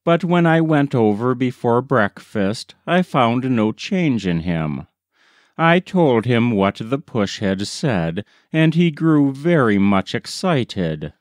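A man reads a short story aloud as an audiobook narrator. His pace is slow and his pitch moves expressively up and down. The recording is dry and close, with little room sound. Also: very animated, no echo.

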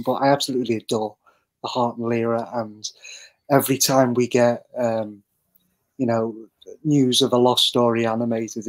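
A man giving a reflective interview as a voice actor on an online call, speaking haltingly as he thinks aloud. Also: mid-range voice, low-quality recording. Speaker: podcast guest, voice actor.

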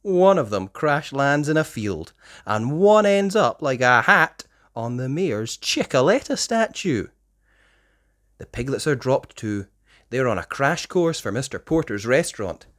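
A person reading a children's story aloud in expressive narration. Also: mid-range voice, unhurried, dry recording, clean studio sound. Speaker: storybook reader.

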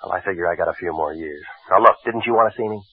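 A deadpan, low-voiced private eye. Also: male voice, slightly rough. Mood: wry. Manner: dry, deadpan, quick wisecracks. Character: wisecracking hard-boiled private eye man. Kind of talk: 1940s radio acting. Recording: dull 1940s radio recording.

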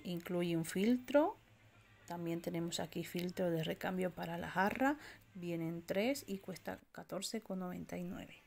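A woman from walking through a supermarket aisle, filming on a handheld phone and reading out prices and sizes in a steady listing way. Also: lowish voice. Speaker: shopping vlogger. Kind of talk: walk-through product rundown. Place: indoor discount supermarket aisle. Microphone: handheld phone camera, filming while browsing.

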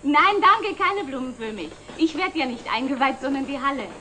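An actress playing a brisk, composed secretary to a company director in an old television drama. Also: female voice, high-pitched, animated, pushed and bright, slight background noise. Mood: brisk, efficient. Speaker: actor in a television series. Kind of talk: 1960s German television drama acting.

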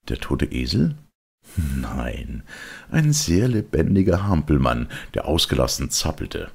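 A man narrating a children's storybook as an expressive audiobook reader. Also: rumbling low, gravelly, breathy edge.

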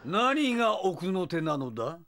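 Arrogant, cruel undying villain, slow, theatrical delivery with extreme swings, for anime audio drama. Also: male voice, high voice, big pitch swings, noisy background. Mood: mocking contempt. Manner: pompous, theatrical, sneering. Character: arrogant, cruel, immortal male villain with time powers.

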